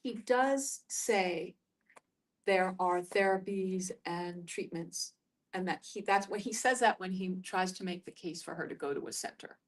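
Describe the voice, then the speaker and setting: A woman's voice: on the low side, measured pace. Woman leading discussion, away from the mic. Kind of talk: casual group discussion. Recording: distant microphone in group setting.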